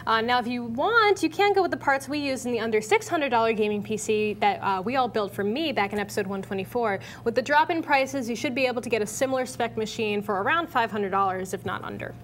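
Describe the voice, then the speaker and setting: A woman's voice: on the high side, animated, quick pace, hardly any pauses, a little hiss or hum. A young American woman co-hosting a tech show from a studio desk in a casual presenting style. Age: young adult.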